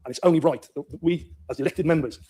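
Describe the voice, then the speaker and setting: A man speaking as a local councillor, replying in a chamber debate with a husky voice. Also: fairly high pitch, quick pace, warm tone, slight background noise.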